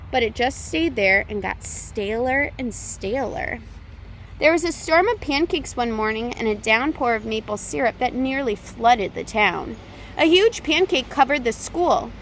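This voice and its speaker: A woman with a fairly high voice reads a picture book aloud for young children. Her phrasing is expressive and deliberate, in the style of a storytime reader. There is some faint noise behind her on the recording. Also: low-quality recording.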